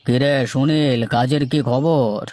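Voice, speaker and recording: A man's voice: mid-range voice, fairly even intonation, unhurried, mellow, lightly acted. Man playing a bossy, nagging foreman. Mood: bossy, disapproving. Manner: commanding, disapproving. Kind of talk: cartoon dialogue acting. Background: background music.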